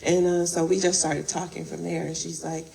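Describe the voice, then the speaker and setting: Gravelly Chicago woman, chatty. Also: deep voice, level tone, moderate pace, warm tone, rough recording. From raised in Chicago. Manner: chatty, laughs often. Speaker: guest, event producer. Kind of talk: casual interview.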